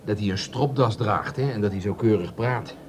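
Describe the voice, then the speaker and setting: A man acting in a radio play as a down-at-heel small-time crook, an eager, deferential follower who gets excitable. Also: lowish voice, moderate pace, lightly acted. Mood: admiring, defensive.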